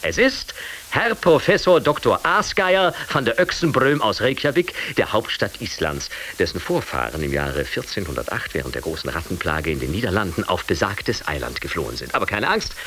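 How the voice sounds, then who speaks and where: Excitable, wildly swooping radio host. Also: male voice, fairly low pitch, normal speed. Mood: grandly announcing. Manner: theatrical, flustered, stammering. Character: excitable, naive male radio show host. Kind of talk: 1980s radio comedy sketch. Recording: hissy 1980s radio recording.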